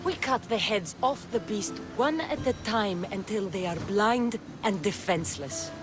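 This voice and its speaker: A woman acts out a young Spartan warrior and seafarer for a video game. She is bold and defiant, speaking directly and with determination in a medium-pitched voice. Music is loud behind her. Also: expressive, unhurried, lightly acted. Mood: determined. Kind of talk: dramatic video game acting.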